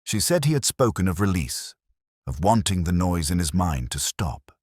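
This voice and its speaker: A man reading a scripted first-person detective story as narrator, in a measured, solemn, literary manner. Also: low-pitched, sing-song, darkish tone, breathy edge, no echo, clean studio sound.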